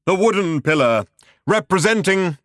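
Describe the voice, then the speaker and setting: A man voicing a bombastic showman orator from a galactic spectacle in a science fiction audiobook, grandiose, drawn-out and sentimental, with a thunderous delivery. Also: high-pitched, lively intonation, dry recording, studio quality, pitched above the performer's own voice. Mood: triumphant, grand.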